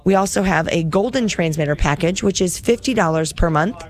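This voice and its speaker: A woman's voice: on the low side, fairly quick. A woman hosting a radio pledge drive, chatty and upbeat, listing gifts and repeating the phone number. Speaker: radio fundraiser host.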